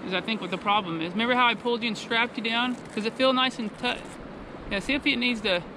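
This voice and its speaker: A man's voice: very high voice, fairly even intonation, background noise. A middle-aged man, chatting casually as he paddles a kayak down a river. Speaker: kayaking vlogger. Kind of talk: casual outdoor narration to camera. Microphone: action camera on the kayak. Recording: loud rushing water behind.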